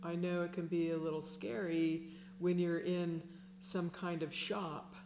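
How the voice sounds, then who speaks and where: Slow, low-voiced woman in a roomy space. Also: flat delivery, noisy background. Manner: gentle, unhurried, reflective. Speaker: shamanic sound healer teaching. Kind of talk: slow calm instructional talk.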